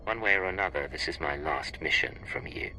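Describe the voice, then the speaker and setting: An actor playing a cold, hardened Imperial intelligence agent in a video game, speaking in a terse, grim way. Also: male voice, very deep voice, fairly quick, sharp tone, faint music behind, full character voice, poor audio. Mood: cold resolve. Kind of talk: video game cutscene acting.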